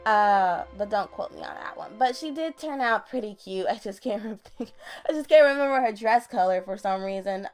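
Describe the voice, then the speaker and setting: A young woman with a deep voice, recording a casual late-night game voiceover at home on a desktop mic, chatty and self-deprecating. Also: lively intonation, moderate pace, soft background music. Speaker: gaming YouTuber. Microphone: desktop USB mic.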